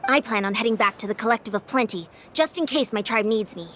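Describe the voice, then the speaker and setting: A woman's voice: a little high, fast, fairly bright tone, slight background noise, lightly acted. A woman playing a direct young warrior in a game, giving a report. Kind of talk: game dialogue.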